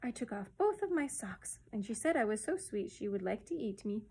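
A woman voicing a sweet baby girl in a storybook, soft, amused and complacent. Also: mid-range voice, big pitch swings, normal speed, vocal fry, slightly rough, heavily acted.

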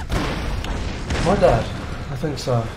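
A young man with a high, light voice, co-hosting a game playthrough in joking, laughing, swearing banter. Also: expressive, extremely slow, reverberant, background noise. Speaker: let's play co-host. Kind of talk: casual gaming chat. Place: home room at a gaming desk.

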